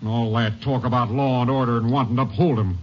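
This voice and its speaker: A man's voice: mid-range voice, fairly even intonation, fast, lightly acted. An actor playing a stern, honest male sheriff in a 1950s radio drama, blunt and accusing. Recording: muffled old radio transcription.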